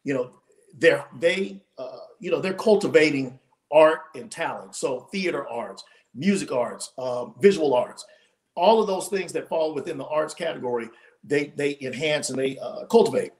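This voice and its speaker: Guest author, forties, male, animated storyteller on a home video call, voice tiring. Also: fairly high pitch, moderate pace. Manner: animated, storytelling with many restarts. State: losing his voice. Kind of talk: casual interview storytelling. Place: home room, indoors.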